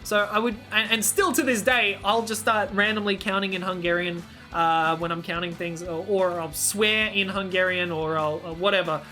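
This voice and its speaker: Young aussie woman streamer, crisp bright tone, rambly and chatty, some faint music behind. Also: mid-range voice, fairly even intonation, moderate pace, few pauses. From Australia. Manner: rambling, self-interrupting, exclaiming in surprise. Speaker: streamer reacting to clips. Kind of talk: casual reaction commentary.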